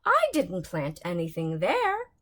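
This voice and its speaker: A woman's voice: on the low side, wildly swooping pitch, slow pace, a bit bright, voice pushed down, exaggerated voice. A woman reading a storybook and voicing a stubborn, indignant old woman with emphatic, exclaiming declarations. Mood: puzzled.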